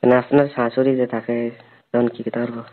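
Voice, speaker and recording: Man acting as hesitant, eager young brother-in-law, quick speech, thin telephone sound. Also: mid-range voice, level tone, lightly acted. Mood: curious, hesitant. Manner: hesitant, questioning, suggestive. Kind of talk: staged phone-call dialogue. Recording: tinny narrowband phone line.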